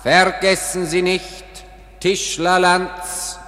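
An actor in a German radio play voicing an eerie, commanding caller with drawn-out, declamatory delivery in a reverberant space. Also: male voice, high-pitched, level tone, sharp tone, exaggerated voice. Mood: ominous. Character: eerie, commanding male voice calling out the accused. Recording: echoing hall, long reverb.

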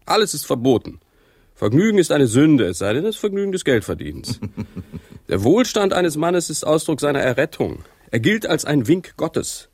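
A man's voice: on the high side, no echo, studio quality. A man playing a blunt, scornful English country physician who declaims sardonically in a German radio drama. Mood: bitter, mocking.

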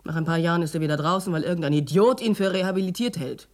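A woman's voice: lowish voice, racing, darkish tone, lightly acted. An actress playing a bitter, outspoken middle-aged woman in a radio drama, blunt and edgy. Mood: bitter, angry. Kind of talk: German radio drama acting.

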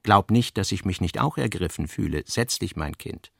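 A narrator as gravelly, insistent businessman father. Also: low voice, animated, moderate pace, fairly bright tone, no room sound, studio quality, exaggerated voice. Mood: grave, concerned. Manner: insistent, rhetorical, argumentative. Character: earnest middle-aged businessman father, persistent, reasoning with daughter. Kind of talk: literary audiobook-style radio drama.

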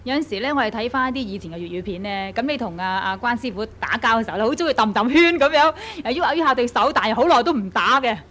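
A woman's voice: on the high side, lively intonation, fast talker, few pauses, fairly bright tone. Woman interviewing, faint noise. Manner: attentive, frequent short acknowledgements. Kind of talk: conversational interview.

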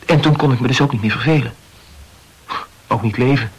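An actor playing a brooding, wryly morbid male poet in a Dutch radio play, speaking reflectively and haltingly in a gravelly voice. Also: medium pitch. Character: brooding, self-absorbed male poet, wryly morbid about his youth.

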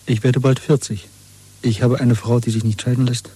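A man's voice: mid-range voice, flat delivery, moderate pace, mellow, slightly rough, poor audio. An actor in a German radio drama playing a wary, weary man nearing forty who speaks in a guarded, terse way. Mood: bitter, confessional.

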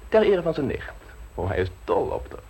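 An actor playing a smooth, self-assured scheming secretary in a radio play, teasing and unflappable, with a husky voice. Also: male voice, low-pitched, wildly swooping pitch, fairly quick, darkish tone, exaggerated voice. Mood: suave, scheming. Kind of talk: radio play acting in Dutch.

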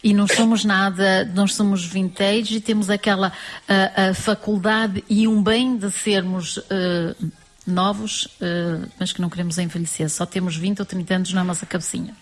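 A woman's voice: medium pitch, fairly even intonation, normal speed. Middle-aged Portuguese woman, emphatic talker. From Portuguese emigrant living in France. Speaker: emigrant community radio presenter. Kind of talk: casual panel conversation.

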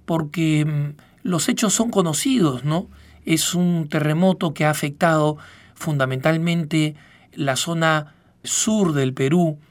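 A middle-aged man from Peru, raised in Argentina, hosting a reflective radio commentary in a measured, halting way as he searches for words. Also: on the high side. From born in Peru, raised in northwestern Argentina. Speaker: radio program host, news agency director.

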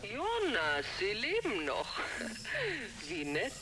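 A woman's voice: fairly high pitch, huge pitch swings, very slow, over loud music, exaggerated voice. A woman playing a suave, scheming, condescending corporate director heard over a phone in a radio drama. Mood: silky menace.

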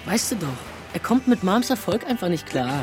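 Boastful young cyborg, over loud music. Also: male voice, sits high, animated, brisk. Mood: cocky, brotherly. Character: Demo, boastful upbeat young man in cyborg body. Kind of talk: casual radio drama dialogue.

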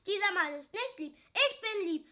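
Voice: very high voice, expressive, moderate pace, sharp tone, full character voice. A performer playing a boastful, self-satisfied little boy in a radio play, repeating childish boasts. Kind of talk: German radio play acting.

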